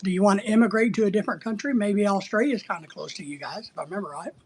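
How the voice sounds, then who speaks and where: A livestream host from the United States with a husky voice, chatting casually and bluntly while teasing viewers and rambling through answers to their comments. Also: mid-range voice, racing, darkish tone, a little hiss or hum. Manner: blunt, teasing, sometimes breaking into playful exclamations.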